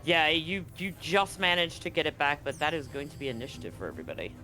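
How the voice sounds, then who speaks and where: Guy game mastering a tabletop rpg, kinda high voice, bit of music in the background. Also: animated, fast talker, pushed and bright. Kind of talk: improvised tabletop game narration and rules talk. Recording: music behind the voice.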